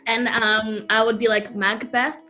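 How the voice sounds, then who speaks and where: A woman's voice: on the high side, flat delivery, a little slow, sharp tone, faint music behind. A woman in her twenties hosting a casual interview, playful and giggly, laughing often. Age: 20s. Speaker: host.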